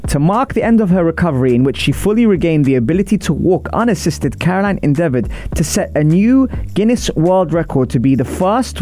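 A man's voice: a little high, dark tone. A man hosting a live talk show, casual and jokey, laughing often as he chats with a guest. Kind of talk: casual live radio chat.

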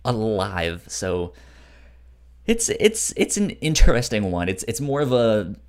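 A podcast co-host in casual conversation. The delivery is unhurried and halting, with sentences that get rephrased along the way, while the pitch swings in an animated way. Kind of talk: casual book discussion chat.

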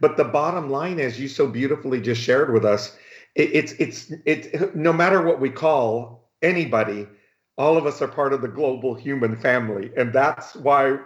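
A man's voice: medium pitch, normal speed. A man hosting an interview show, enthusiastic and effusive, often tagging his sentences with "right?". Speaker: talk show host. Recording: dull lo-fi audio in an echoey room.

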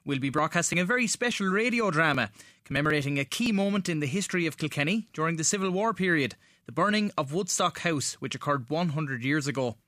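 An Irish man hosting a radio programme, reading scripted links in a formal, deliberate manner. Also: on the high side, lively intonation, pushed and bright, dry recording, clean studio sound. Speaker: radio show host.

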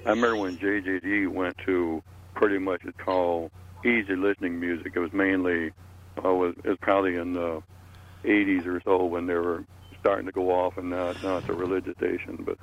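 A man's voice: low-pitched, little pitch movement, brisk, muffled recording. An elderly man in his eighties reminiscing casually in a rambling way, often repeating his words. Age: 80s. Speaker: panelist. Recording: faint background noise.

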